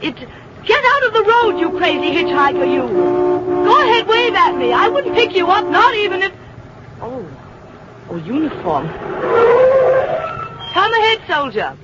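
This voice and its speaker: A woman's voice: very high voice, very animated, a little slow, long pauses, heavily acted. A woman acting in an old-time radio drama as a sardonic, plucky American schoolteacher, theatrical and wry in her delivery. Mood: exasperated, brisk. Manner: theatrical, wry, talking to herself. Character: sardonic, plucky American schoolteacher driving alone, shaken but defiant. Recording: dull, noisy old radio recording.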